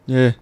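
A man playing a video game on a let's play, reading a character's dialogue aloud in a relaxed, jokey way. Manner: laid-back, jokey, reads lines aloud. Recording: faint game music behind.

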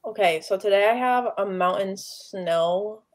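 A woman's voice: medium pitch, a little slow. A young adult woman casually hosting a livestream over a video call in a chatty way. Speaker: livestream host. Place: room at home, video call.

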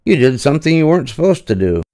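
A man's voice: on the high side, sing-song, fast talker, dark tone, pitched above the performer's own voice. A man voicing a busy, firm but kindly aardvark mother in a children's storybook.

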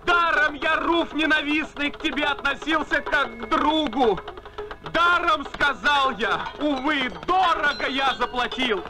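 An actor playing a bitter, wounded young lover, reciting verse in an impassioned, declamatory way for an animated film. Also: male voice, extremely high pitch, unhurried, over music. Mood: bitter grief. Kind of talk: dramatic recitation of ancient verse.

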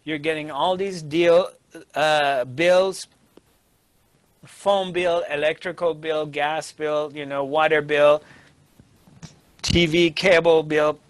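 A middle-aged man giving a spiritual discourse as a teacher, emphatic and deliberate, with pauses. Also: fairly high pitch, fairly even intonation, dry recording. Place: small dark indoor studio. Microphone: lapel mic.

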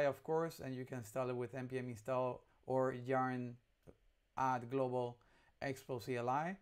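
Young guy, doing a laid-out-as-he-goes coding tutorial, clean smooth voice, kinda hesitant. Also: mid-range voice. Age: young adult. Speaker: instructor. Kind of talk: casual screencast tutorial narration. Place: indoor room at a computer.

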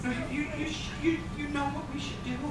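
A man's voice: very high voice, steady tone, a little fast, faint music behind. A man playing a chatty, oblivious, friendly monster in a staged comedy reading, stammering and repeating himself as he rambles. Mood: excitable. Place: indoor stage, recorded from the audience by far camera. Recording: distant, echoey theater, noisy background.

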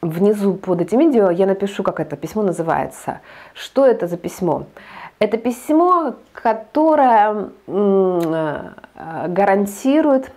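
A woman's voice: mid-range voice, animated, unhurried, a little mellow. A woman in her thirties talking casually to camera as a vlogger, hesitant with many fillers and restarts, recorded on a lapel mic. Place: indoor studio with green backdrop.

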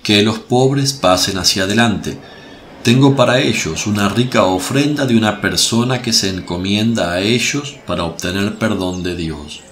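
An actor playing a solemn, compassionate preacher, speaking in a measured, earnest way in a radio drama. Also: male voice, fairly low pitch, fairly bright tone, a little hiss or hum, lightly acted.

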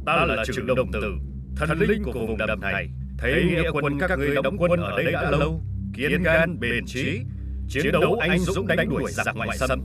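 An actor playing a solemn swamp god who proclaims grandly in an animated historical legend. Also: male voice, a little high, normal speed, over music, natural voice. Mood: solemn, commanding. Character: solemn male swamp god granting a magic claw.

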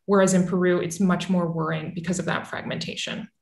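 A woman in her thirties explaining politics as an expert guest on a video call, articulate and measured. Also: fairly low pitch, level tone, vocal fry. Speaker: newsletter author and analyst guest. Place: small home room with bookshelf, indoors. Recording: roomy, echoing video call audio.

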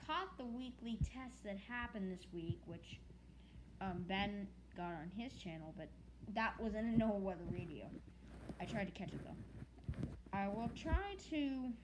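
A noisy, click-flecked recording carries a mid-pitched, female-sounding voice. The speaker, from the United States, talks casually and off the cuff, hesitating and circling back over words in a rambling update. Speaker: hobbyist video creator. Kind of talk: casual unscripted video update.

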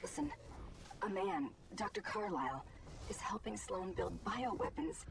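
A woman's voice: mid-range voice, big pitch swings, a little slow, noisy background. An actress playing an earnest, conflicted female scientist who briefs the hero in an action game. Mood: troubled. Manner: earnest, urgent mission briefing. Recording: game music behind voice.

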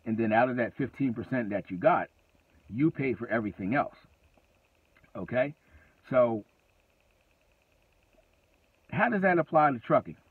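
A man's voice: medium pitch, slightly rough, dry recording. A middle-aged man giving casual, emphatic advice in a trucking vlog, talking from his parked car and repeating phrases for emphasis. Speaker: trucking vlogger. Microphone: phone camera at arm's length. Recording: tinny phone-like sound.

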